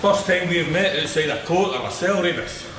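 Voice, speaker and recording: An actor playing a menacing, swaggering Scottish crime boss in a radio drama, with a taunting, commanding manner. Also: male voice, sits high, normal speed, natural voice. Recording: noticeable background noise.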